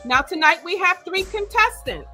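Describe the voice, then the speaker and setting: Bubbly high-voiced woman hosting. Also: animated, moderate pace, sharp tone, soft background music. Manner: upbeat, bubbly, explains rules clearly. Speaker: game show host. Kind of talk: hosting an online dating game. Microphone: video call.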